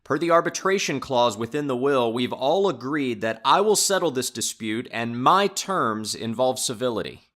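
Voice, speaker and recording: Male actor as even-tempered arbitrator, mid-pitched voice, official but courteous, audio drama. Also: normal speed, close to an everyday voice. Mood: calm authority. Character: calm, courteous male arbitrator settling disputes.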